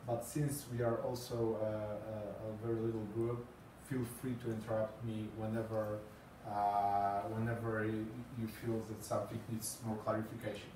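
A man in his thirties speaks English with a Polish accent, stopping often on fillers and repeating words as he presents. He sounds far from the mic in an echoey room. His pitch sits in the middle of the range. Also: flat delivery, normal speed, darkish tone, a little hiss or hum. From Poland. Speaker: conference speaker. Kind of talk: presentation talk. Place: small meeting room with green walls and a screen. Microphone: far camera, no mic seen.

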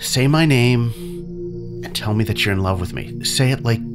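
A man's voice: mid-range voice, moderate pace, over music, close to an everyday voice. A man playing an obsessive, manipulative captive in an audio drama, with an intense, commanding, brooding manner. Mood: yearning, commanding.

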